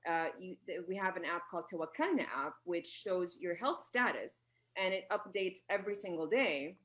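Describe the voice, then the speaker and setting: Low-voiced woman explaining earnestly. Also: normal speed, a bit bright, poor audio. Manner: earnest, with many fillers and self-corrections. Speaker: radio guest. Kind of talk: explanatory interview answers.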